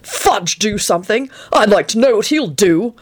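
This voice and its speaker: A woman's voice: medium pitch, expressive, moderate pace, voice pushed up, lightly acted. A woman voicing a vehement, indignant wizard man with a blunt, emphatic manner in a storybook.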